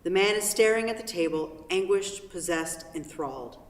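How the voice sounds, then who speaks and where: Woman, stage-direction narrator, slightly low voice, scripted reading, distant mic in a reverberant theatre. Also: animated, moderate pace. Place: theatre, recorded from far off. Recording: echoey hall, distant microphone.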